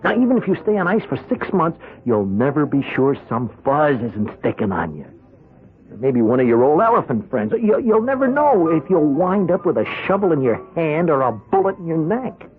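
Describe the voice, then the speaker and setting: Cocky young American hoodlum, animated delivery. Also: male voice, on the high side, normal speed, phone-quality sound. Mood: wheedling, cocky. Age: young adult. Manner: wheedling, needling, slangy. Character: cocky, pushy young male small-time hoodlum. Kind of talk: radio drama acting. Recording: band-limited radio drama recording with music.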